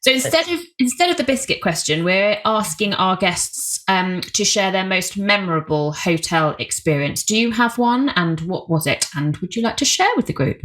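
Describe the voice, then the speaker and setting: A woman's voice: mid-range voice, animated, normal speed, few pauses, fairly bright tone. A woman hosting a podcast, asking questions in a chatty, wry and self-deprecating way. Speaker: podcast host. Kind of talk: casual interview chat.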